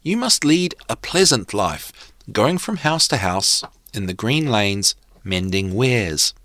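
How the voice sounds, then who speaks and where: A man's voice: on the low side, sing-song, slow pace, a bit bright, dry recording, studio quality, exaggerated voice. A man voicing a meek, courteous young king in a storybook tale, speaking politely and earnestly. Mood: admiring, wistful. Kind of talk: storybook character reading.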